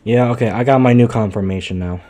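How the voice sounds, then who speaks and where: A man live streaming, chatting casually off the cuff in a halting, muttering, sweary way. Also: medium pitch, warm tone, soft background music.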